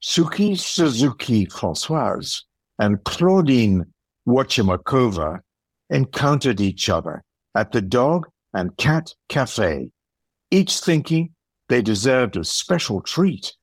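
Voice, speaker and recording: A man playing a measured documentary-style narrator of an audio play, telling the story in a husky voice. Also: mid-range voice, very animated, no room sound, exaggerated voice.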